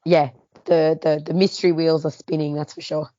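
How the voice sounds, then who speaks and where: Low-voiced chatty woman. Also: level tone, moderate pace, warm tone, dry recording, highs cut off. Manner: chatty, laughs often, trails off mid-thought. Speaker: podcast co-host. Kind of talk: casual book club chat.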